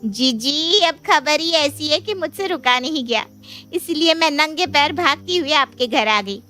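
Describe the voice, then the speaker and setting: A woman's voice: high voice, unhurried, soft background music, full character voice. A woman voicing a boastful, bustling younger sister and matchmaker in a moral story, with a gushing, persuasive manner. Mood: excited. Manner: gushing, persuasive, animated phrasing. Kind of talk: dramatised Hindi moral story dialogue.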